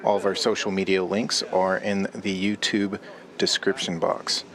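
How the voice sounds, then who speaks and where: Man, co-commentator, low voice, flat intonation, matter-of-fact promo reading. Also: moderate pace, a crowd in the background. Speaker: poker co-commentator.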